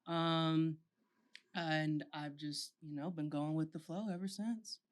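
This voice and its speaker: A young woman with a low, smooth, resonant voice tells stories about her acting in a relaxed podcast chat. She talks at an easy pace, with plenty of ums and likes. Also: slow pace. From Florida. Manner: relaxed, storytelling, with fillers. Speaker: podcast host and actor.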